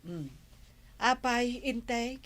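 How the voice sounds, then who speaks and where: A woman's voice: fairly high pitch, drawn-out words, a bit bright. A woman playing a cautious village housewife with an Ilocano accent, hesitant and conversational, in an audio drama. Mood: questioning. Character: village housewife, cautious, concerned about money.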